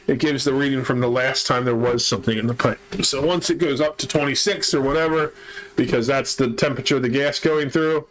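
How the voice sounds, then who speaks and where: A man's voice: medium pitch, steady tone, fairly quick, crowd noise, poor audio. A man with a chest cold, hosting a let's play and methodically explaining his base repairs step by step. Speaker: gaming channel host.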